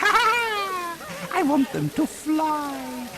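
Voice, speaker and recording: Deranged gleeful inmate, very high voice, drawn-out singsong, cackling, game character, noisy background. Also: female voice, expressive, exaggerated voice. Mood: giddy, gleeful. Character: gleeful deranged asylum inmate scheming to free birds. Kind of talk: video game voice acting.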